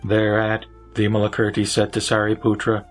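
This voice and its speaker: A man narrating a scripted sutra audio drama in a formal, measured way. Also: lowish voice, monotone. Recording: background music under the voice.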